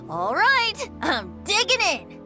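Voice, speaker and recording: A woman's voice: very high-pitched, wildly swooping pitch, drawn-out words, bright, forceful tone, exaggerated voice. A woman playing a cheerful, teasing, youthful boy bard in a video game, bubbly and theatrical. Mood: excited, delighted. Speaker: bard character. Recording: background music under the voice.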